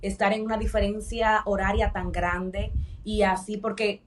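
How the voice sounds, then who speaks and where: A Dominican woman speaks as a guest in an interview, telling her own story. Her pitch sits in the middle of the female range, and she repeats phrases for emphasis. The voice is recorded a little way off from the microphone. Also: flat delivery, measured pace, far from the mic, a little hiss or hum. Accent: Dominican Spanish. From Dominican Republic. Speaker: podcast guest.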